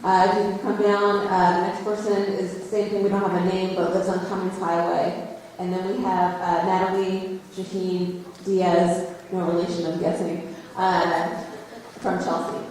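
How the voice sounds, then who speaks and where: A woman's voice: fairly low pitch, level tone, normal speed, few pauses, darkish tone, slight background noise. A woman moderating a public hearing, casually calling speakers forward. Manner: casual, apologetic, calls up speakers. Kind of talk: casual announcing. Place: large hall, indoors. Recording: echoey hall from far off.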